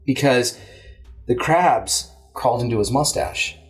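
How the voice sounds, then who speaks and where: A man's voice: medium pitch, measured pace. Man hosting casual, crude banter over music. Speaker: host of a movie review show. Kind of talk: casual joking chat.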